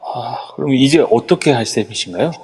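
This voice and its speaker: A man's voice: mid-range voice, animated, moderate pace, darkish tone, slightly rough, big-room sound. A man playing a middle-aged male company executive on the phone, polite and formal. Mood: concerned. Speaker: voice actor. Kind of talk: amateur audio drama acting. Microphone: phone app mic.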